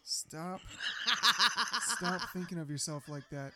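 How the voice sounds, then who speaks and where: A podcast host in loose conversation, blunt and teasing, dropping curse words freely and breaking into laughter often. The voice sits at an ordinary middle pitch. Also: fairly even intonation, takes it slowly, bright, forceful tone, a little hiss or hum. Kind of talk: casual podcast chat.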